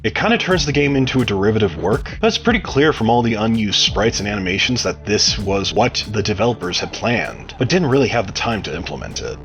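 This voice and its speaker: A man giving measured, explanatory interview answers as a game modder, sounding like he reads from prepared text. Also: on the low side, sing-song, a bit bright.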